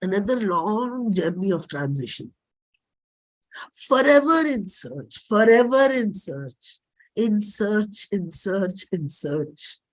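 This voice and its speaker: A performer with an Indian accent and a husky voice, giving a dramatic, deliberate reading of a personal play script. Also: medium pitch, a little mellow, poor audio. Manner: measured, deliberate, theatrical phrasing.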